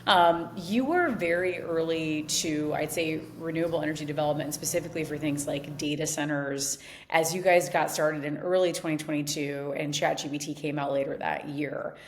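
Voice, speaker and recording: A woman's voice: low-pitched, normal speed, a little hiss or hum. A woman hosting a conversational podcast interview. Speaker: podcast host and investor.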